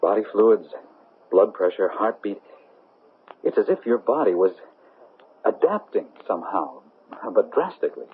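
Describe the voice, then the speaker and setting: A man's voice: lowish voice, sing-song, unhurried, pauses often, slightly rough, full character voice. A man playing an earnest, flustered scientist in a 1970s radio drama, exclaiming and stammering over his words. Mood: amazed. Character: astonished, flustered male scientist, earnest and cautious. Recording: muffled, noisy old radio broadcast.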